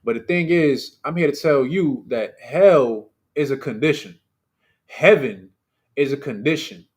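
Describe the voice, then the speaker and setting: A man's voice: mid-range voice, a little slow. Emphatic man preaching a streamed sermon. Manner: conversational and emphatic, with rhetorical questions; loose and chatty, with many fillers and asides. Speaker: preacher leading a livestreamed service.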